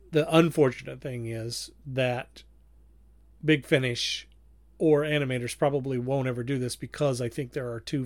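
A man's voice: medium pitch, no echo. A man hosting a casual review discussion, feeling his way through his points in a hesitant, circling way. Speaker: podcast host.

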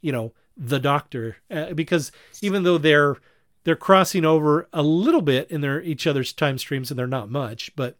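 A man talking casually and critically as a podcast panelist, talkative, with halting, repeated phrases. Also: on the high side, no echo, studio quality. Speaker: podcast panelist reviewing fan productions.

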